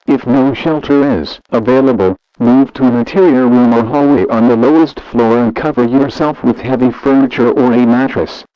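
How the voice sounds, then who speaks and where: A man announces an emergency alert in a measured, official tone. The recording is dry and slightly muffled, like a lo-fi radio broadcast. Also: medium pitch, no echo. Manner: formal, measured official delivery.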